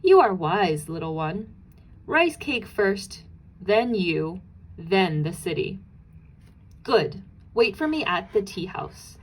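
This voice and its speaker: A woman voicing a greedy, menacing male monster in a storybook read-aloud, with slow, threatening delivery. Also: medium pitch, very animated, poor audio. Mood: menacing, smug. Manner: slow, threatening, groaning when stuffed. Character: menacing, greedy monster with forked tail and wicked jaws, male. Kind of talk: storybook character voice.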